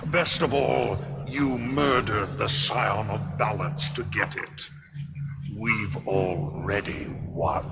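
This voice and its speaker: An actor voicing a cold, arrogant game villain, sneering, theatrical and full of menacing contempt. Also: male voice, on the low side, slow pace, creaky, heavy background noise. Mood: contemptuous. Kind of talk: dramatic video game acting.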